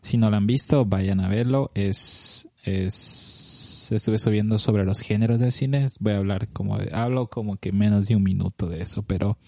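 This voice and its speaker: A man's voice: lowish voice, steady tone, normal speed, mellow, dry recording. A young man hosting a casual podcast, speaking hesitantly and often repeating his words. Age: young adult. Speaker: podcast host.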